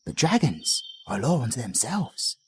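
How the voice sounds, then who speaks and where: A man's voice: medium pitch, big pitch swings, normal speed, a little mellow, slightly rough. A British man voicing a cheerful, chatty male bard in an audio roleplay, doting and slangy as he talks.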